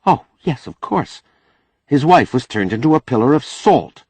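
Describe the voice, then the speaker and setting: A man's voice: medium pitch, sing-song, normal speed, darkish tone, slightly rough, no echo, pitched above the performer's own voice. A man voicing a spirited, outspoken young woman in an audiobook, earnest and challenging in manner. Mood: hesitant. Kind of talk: audiobook character dialogue.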